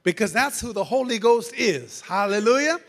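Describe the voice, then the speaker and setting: A preacher man with a notably high voice delivers a fervent church sermon. His voice is going hoarse, and he peppers his lines with "amen" and "hallelujah" while calling out to the congregation. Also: lively intonation, normal speed, fairly bright tone. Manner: calls out to the congregation. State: losing his voice. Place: church sanctuary.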